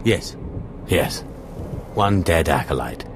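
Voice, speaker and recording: A man playing a cold, haughty Sith acolyte in a video game, speaking in a measured, disdainful way. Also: extremely low pitch, animated, exaggerated voice. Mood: dry, aloof. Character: haughty, cold young male Sith acolyte, player hero. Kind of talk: video game dialogue acting. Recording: noisy game capture.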